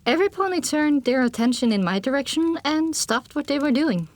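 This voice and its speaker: A woman reading fiction as an audiobook narrator, in an expressive first-person storytelling style. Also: sits high, brisk, no echo.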